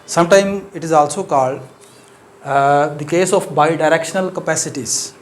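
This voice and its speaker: A man's voice: fairly high pitch, a little hiss or hum. Urdu-accented man explaining a maths lecture. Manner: measured, explaining step by step with frequent "uh" fillers.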